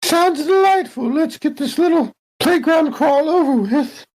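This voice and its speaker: A woman playing a tabletop roleplaying game, speaking in a wry, animated way. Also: sits high, a little slow, low-quality recording. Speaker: player.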